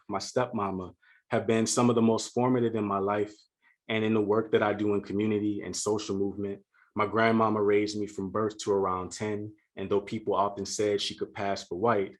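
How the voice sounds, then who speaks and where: Low-voiced man giving an earnest keynote. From Louisiana. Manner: earnest, measured and deliberate. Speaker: keynote speaker. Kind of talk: prepared keynote speech. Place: home setup for a virtual conference.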